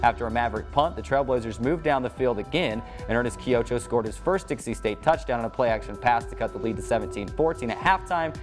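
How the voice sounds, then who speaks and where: An American man reading scripted sports highlights as the host of a show. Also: fast, few pauses, music in the background.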